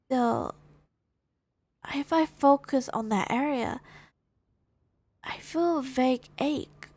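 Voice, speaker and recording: A woman streamer reading video game dialogue and narration aloud in a measured storytelling manner. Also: high-pitched, darkish tone, no echo.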